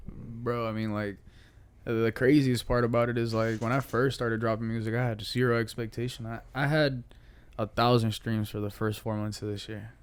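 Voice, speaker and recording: A man's voice: mid-range voice, level tone, normal speed, clear, resonant tone. A man of twenty-four chatting casually as a podcast guest, with slangy, profane language. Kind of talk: casual chat.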